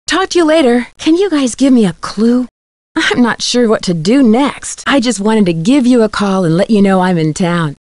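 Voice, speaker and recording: Curious, high-voiced young detective played by a woman. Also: expressive, moderate pace, a little mellow, no room sound, clean studio sound, lightly acted. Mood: friendly, polite. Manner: inquisitive, articulate, friendly. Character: curious, polite young female amateur detective. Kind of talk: video game voice acting.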